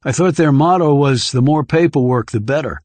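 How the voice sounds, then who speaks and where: A man's voice: on the high side, moderate pace, a little mellow, dry recording, close to an everyday voice. A man voicing a kindly, wry guardian in audiobook dialogue.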